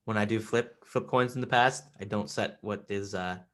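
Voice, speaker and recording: A man hosting a podcast in easygoing chat, his voice bright and forceful. He is playful and often repeats a word or two before getting a sentence out. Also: on the low side, steady tone, moderate pace. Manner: playful, repeats words when starting sentences. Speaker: podcast host. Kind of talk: casual chat.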